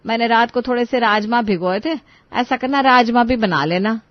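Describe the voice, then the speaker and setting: A woman's voice: on the high side, normal speed, dry recording, muffled recording, close to an everyday voice. A woman playing a middle-aged, bossy yet affectionate mother-in-law in a dramatized story, instructing in a homely, motherly way. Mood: coaxing.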